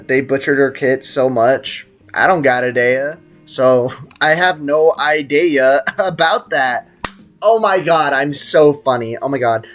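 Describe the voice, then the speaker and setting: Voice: medium pitch, a bit bright. Joking young game streamer, music behind. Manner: joking, rambling, mock-indignant. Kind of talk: casual livestream game commentary, chatting with viewers.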